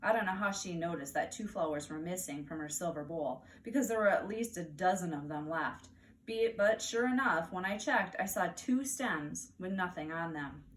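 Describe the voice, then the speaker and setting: A woman with an American accent reads a children's story aloud as a teacher, animated and deliberate for young listeners. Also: lowish voice. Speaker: teacher reading aloud to first graders. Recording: distant mic, faint background noise.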